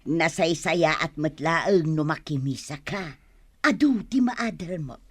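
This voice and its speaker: A woman's voice: sits low, takes it slowly, husky, dry recording, clean studio sound, heavily acted. A woman playing a young female store helper in a comedy radio drama, exclamatory, with sing-song questions. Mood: chatty.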